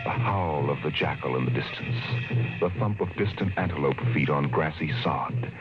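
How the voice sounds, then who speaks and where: A man narrating a 1950s radio drama, measured and slowing down for dramatic effect. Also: very deep voice, expressive. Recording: muffled old radio broadcast with music.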